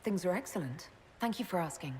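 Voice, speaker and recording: Woman voicing a composed, low-voiced heroine. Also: animated, brisk, darkish tone, slight background noise, natural voice.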